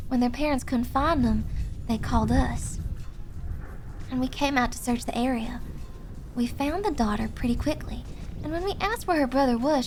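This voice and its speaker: Girl reading as a forest rescue officer, high voice, measured creepy storytelling, slight background noise. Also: fairly bright tone, heavily acted. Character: search and rescue officer telling eerie forest stories. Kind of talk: first-person scary story reading.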